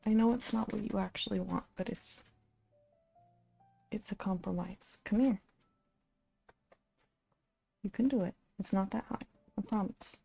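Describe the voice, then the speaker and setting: Soft-spoken woman with a gravelly fry. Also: mid-range voice, unhurried, pauses often, faint music behind. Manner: soft-spoken, rambling, thinking aloud with many ums; gently scolds and coaxes a pet between remarks. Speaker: streamer and channel host. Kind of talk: casual game-stream chatter.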